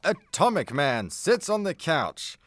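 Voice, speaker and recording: A man's voice: a little high, sing-song, slow pace, fairly bright tone. A man reading scripted narration as the narrator of a comedy radio play.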